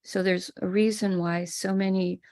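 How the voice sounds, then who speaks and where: An American woman teaching a ritual on a live stream, calmly explaining each step. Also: mid-range voice, normal speed. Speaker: spiritual teacher leading a ritual. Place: home room with a small altar table.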